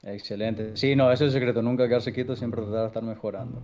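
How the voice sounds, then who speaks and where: A man's voice: medium pitch, fairly even intonation, fast, dark tone. A man hosting a podcast, interviewing a guest in a casual, chatty way. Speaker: host. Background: guitar music.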